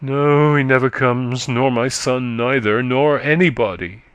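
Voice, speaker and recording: A man voicing a weary, faint middle-aged countrywoman who is exhausted and short of breath, speaking slowly and low. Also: smooth, no room sound, lightly acted. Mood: sorrowful. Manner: slow, low, sorrowful.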